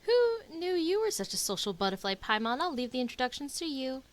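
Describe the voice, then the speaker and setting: A woman's voice: high voice, sing-song, fairly quick. A woman streaming a video game, giving casual reaction commentary as the story plays.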